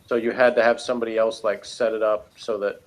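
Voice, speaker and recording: A man's voice: on the low side, level tone, fast. A middle-aged man hosting a casual review discussion, talking in a rambling, self-qualifying, conversational way. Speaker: podcast host.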